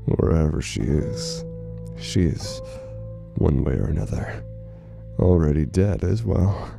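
Casting: a grave, cracking emergency broadcaster in horror audio drama; deep, very slow, formal yet unstable. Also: male voice, lively intonation, creaky, music in the background, exaggerated voice. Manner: halting, formal, theatrical, unstable. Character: unhinged male broadcaster, grave, formal, fragile, devoted to his council.

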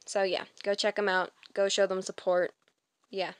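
A woman's voice: medium pitch, level tone, moderate pace, clear, resonant tone, dry recording. A woman hosting a fan comic dub channel, chatting casually in a self-conscious, awkward and informal way. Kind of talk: casual, chatty video intro and outro.

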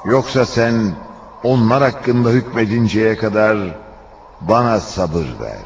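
A man's voice: on the low side, slow pace, noisy background. A man narrating a religious cartoon in a solemn, declamatory storytelling style. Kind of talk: scripted religious cartoon narration, voicing quoted speech. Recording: dull lo-fi audio, faint music behind.

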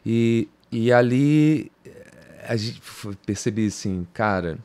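A man's voice: medium pitch, lively intonation, slow pace, warm tone, clean tone. A man in his fifties from Brazil, a guest on a podcast recorded in a home music studio, talking in a loose, rambling way, restarting sentences and tagging them with "cara" and "entendeu?". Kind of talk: casual interview talk. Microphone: studio mic on boom arm.

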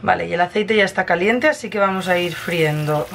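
A woman's voice: fairly low pitch, brisk, creaky, a bit bright. A woman with an accent from Spain gives a casual, chatty cooking tutorial, explaining each step informally as host. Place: home kitchen, indoors. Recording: kitchen noise, frying and stirring sounds.

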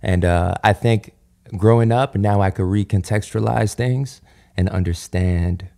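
A man's voice: fairly low pitch, a little slow, creaky, dark tone. A young man from Nigeria, guest singer on a studio podcast, talking in a thoughtful, easygoing way. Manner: thoughtful, easygoing, laughs often. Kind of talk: relaxed conversational interview.

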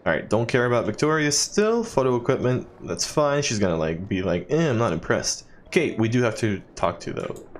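A man commentating casually on a video game as he plays. He jokes, swears and mutters asides, with his pitch moving around a lot. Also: sing-song, brisk. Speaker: let's play commentator.

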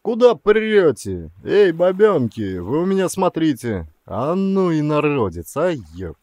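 A man's voice: a little high, measured pace, dry recording, pitched above the performer's own voice. A man voicing an agitated, blustering bargeman who scolds and grumbles, in a theatrical audiobook style. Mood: frantic scolding. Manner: shouting, scolding, excitable. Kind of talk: theatrical audiobook character acting.

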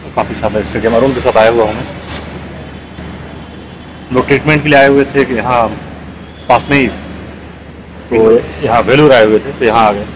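A man's voice: mid-range voice, steady tone, moderate pace, long pauses. Brief: middle-aged male vlogger, eager, phone held at arm's length outdoors at night, noisy background. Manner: enthusiastic, repeats praise often. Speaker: travel vlogger. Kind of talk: casual guided tour vlog. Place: outdoor cricket stadium at night. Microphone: phone camera at arm's length.

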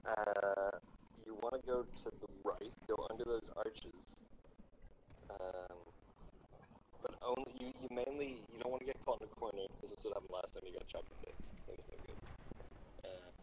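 A man's voice: fairly low pitch, moderate pace, a little hiss or hum, close to an everyday voice, low-quality recording. A man playing a laid-back talking sword. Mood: advising. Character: advice-giving male talking sword.

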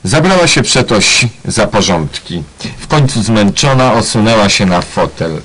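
Male narrator, low husky voice, deadpan ironic storytelling. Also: normal speed, low-quality recording. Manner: mock-solemn, tongue-in-cheek. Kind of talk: scripted ironic radio play narration.

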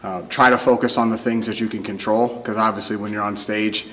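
An American man in his thirties, a bodybuilding competitor talking casually to camera in a halting, measured way. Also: fairly low pitch, flat delivery, pushed and bright. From Akron, Ohio, United States. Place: indoor gym photo studio.

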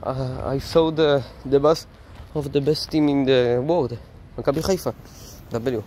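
A man's voice: medium pitch, fairly even intonation, measured pace, warm tone, slight background noise. A man with an Israeli accent vlogging casually while walking outdoors, rambling and slangy, filming on a phone. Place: outdoors on city streets.

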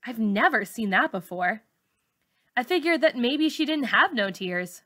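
A woman's voice: a little high, animated, unhurried, a bit bright. A woman narrating a first-person novel aloud, as a teacher reads to a class.

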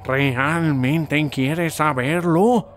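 Very slow, sardonic cartoon squid. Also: male voice, on the high side, slight background noise, voice pushed up. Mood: spooky, teasing. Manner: drawn-out, ominous storytelling. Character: sardonic male cartoon squid telling spooky tales. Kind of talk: cartoon dub.

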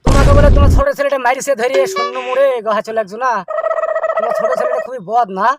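A man's voice: very high-pitched, steady tone, rapid-fire. A man playing an inquisitive, coaxing grandson with a rural Bengali accent, asking questions and cajoling in an amateur village comedy skit. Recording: loud music over the dialogue.

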